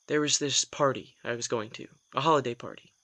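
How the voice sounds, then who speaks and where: A man playing a wry, bright-voiced reluctant vampire. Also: mid-range voice, a little fast. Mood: animated storytelling. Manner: wry, hesitant, self-deprecating storytelling with sighs. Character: reluctant male vampire, young office worker, sardonic, put-upon. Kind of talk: immersive audio drama acting, naturalistic.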